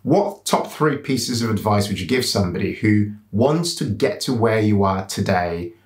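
A man co-hosting an interview from a home room with bookshelves, talking in an encouraging, lively and quick way. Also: on the low side, reverberant. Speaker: co-host.

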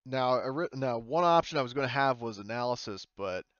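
A man acts as game master in a casual, hesitant way, feeling for the right words. His voice is smooth and sits at a middle pitch. The recording is dry and a little muffled. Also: animated, brisk, a bit bright, dry recording. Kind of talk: casual tabletop roleplaying narration and chat. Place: voice chat in an online game session.

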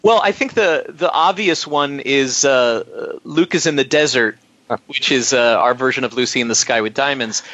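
A man chatting casually as a musician guest in an interview, telling stories in an animated, self-deprecating way and acting out remarks. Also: mid-range voice, normal speed, no room sound, muffled recording.

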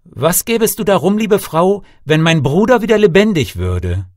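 A man's voice: high voice, sing-song, normal speed, darkish tone, no echo, clean studio sound, voice pushed up. A man voicing a devoted, impassioned king in a storybook tale, speaking in a declamatory manner. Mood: probing. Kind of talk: storybook character voice.

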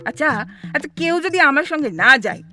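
A woman playing an exasperated, sharp-tongued elderly wife with a West Bengal accent in a comic audio drama, scolding and sarcastic. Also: high-pitched, big pitch swings, moderate pace, soft background music, heavily acted. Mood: impatient, indignant. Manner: scolding, sarcastic, theatrically grumbling.

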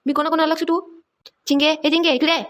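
A woman's voice: sits high, level tone, fairly bright tone. A woman voicing a busy, scolding village mother in a cartoon, nagging. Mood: angry, scolding. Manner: nagging, rapid repeated orders. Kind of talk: Marathi comedy cartoon dialogue.

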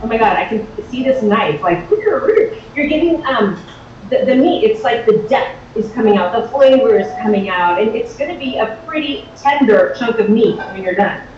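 A woman with a high voice talks viewers through cooking in an informal, excitable, chatty way. She sounds set back from the microphone in a kitchen. The sound is slightly dull, with strong room echo. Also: normal speed. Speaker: host of a live cooking show. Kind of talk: casual live cooking demonstration. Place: home-style kitchen, indoors. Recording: very echoey, distant, dull, faint noise.

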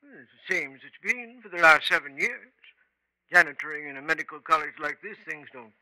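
A man's voice: a little high, animated, a little fast, pushed and bright, rough recording. An actor playing a plain-spoken, wary male college janitor, laconic and matter-of-fact, in 1950s radio style. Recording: 1950s radio drama recording.

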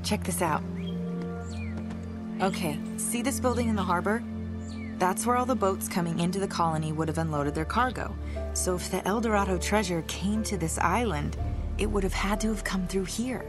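A woman's voice: medium pitch, moderate pace, natural voice. An actress playing a determined young American woman adventurer in a video game, casual and insistent. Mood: casual, eager. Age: young adult. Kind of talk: video game acting, naturalistic dialogue. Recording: loud game music behind.

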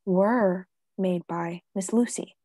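A solemn, insistent professor who hunts vampires played by a woman speaks in a breathy, dark voice. The delivery is deliberate and emphatic radio-play acting, recorded close and dry. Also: lowish voice, dry recording. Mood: urgent, solemn. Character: grave, insistent vampire-hunting professor Van Helsing.

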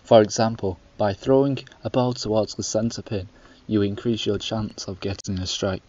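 A man's voice: on the low side, normal speed, warm tone. A young man reading scripted narration for a student documentary in a stiff, instructional way. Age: young adult. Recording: dry dull lo-fi voice-over.

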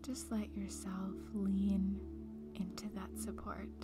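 Female meditation guide: hushed, breathy, soothing, unhurried delivery over music. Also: mid-range voice. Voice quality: soft, breathy, often near whisper. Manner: calm, soothing, gentle, with long pauses. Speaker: meditation guide, breakup coach.

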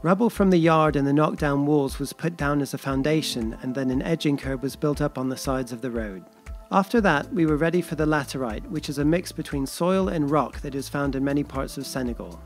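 A man reading scripted voice-over narration in a steady, explanatory way. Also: fairly high pitch, fairly quick, hardly any pauses, faint music behind.

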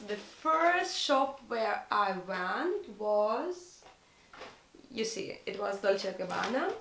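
A woman's voice: medium pitch, creaky, fairly bright tone, reverberant, slight background noise. A young woman from Russia with a Russian accent, chatting earnestly in a fashion haul vlog from her bedroom, sometimes searching for English words. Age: young adult. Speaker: fashion vlogger. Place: bedroom, indoors.

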